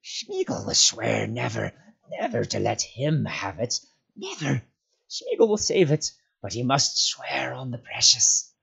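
A man voicing a wretched, cringing, sly creature in a storybook, whining and fawning in a hissing, hoarse whisper with drawn-out, repetitive pleas. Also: medium pitch, big pitch swings, a bit bright, pitched above the performer's own voice, heavily acted. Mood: fearful, swearing. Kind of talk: storybook character voice.

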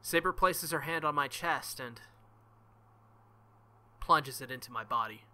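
A man's voice: mid-range voice, a little slow, bright, forceful tone. A young man reading a visual novel aloud as a let's play host, with joking, crude asides between passages.

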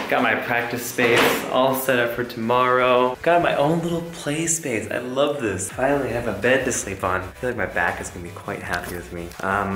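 A man in his thirties chatting casually and excitedly to a handheld camera as a travel vlogger, upbeat and gushing. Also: mid-range voice. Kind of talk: casual vlog chat to camera. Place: handheld travel vlog, outdoors and in rented rooms. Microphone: camera at arm's length. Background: music.

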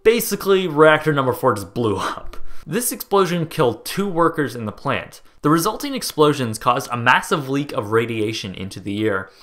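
Young American guy, upbeat host, animated bright read, casual and joking. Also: medium pitch, moderate pace. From United States. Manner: jokey, excitable, swears and trips over place names. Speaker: host narrating a documentary video. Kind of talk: casual narration over footage.

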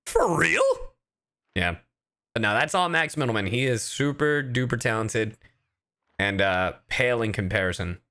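A man's voice: mid-range voice, moderate pace. A man chatting casually and playfully on a livestream as a streamer and voice actor. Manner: animated, playful, casual swearing. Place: indoor livestream with game music.

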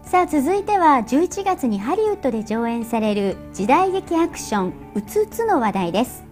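A woman with a very high voice reads scripted television news. She articulates politely and clearly, with soft music faintly behind her. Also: big pitch swings, moderate pace. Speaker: news presenter. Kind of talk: scripted news presentation and narration. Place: TV studio.

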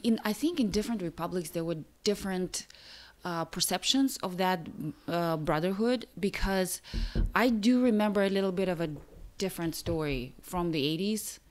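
Low-pitched woman hosting an interview. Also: moderate pace. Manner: friendly, encouraging, with fillers. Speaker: podcast host, interviewer. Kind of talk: casual interview. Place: living room with table. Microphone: desk mic on stand.

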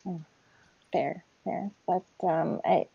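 A soft-spoken woman playing a tabletop roleplaying game, talking hesitantly in character. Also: lowish voice, steady tone, slow pace, vocal fry, husky, no echo, muffled recording. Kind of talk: tentative in-character game conversation. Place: room at home, on a group video call.

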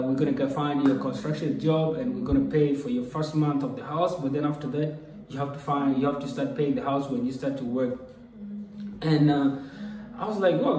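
A man's voice: a little high, darkish tone, far from the mic, a little hiss or hum. A young man from South Sudan telling a personal story to camera in a loose, rambling way, with frequent fillers. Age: young adult. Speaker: vlogger. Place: small room indoors. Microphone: camera at desk distance. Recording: echoey room, mic set back.

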